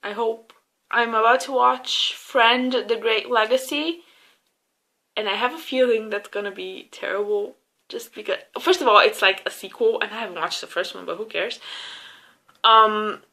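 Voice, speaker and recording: A woman's voice: a little high, normal speed, pushed and bright, poor audio. A young woman giving a casual, opinionated movie review in an informal, gushing way, swearing freely.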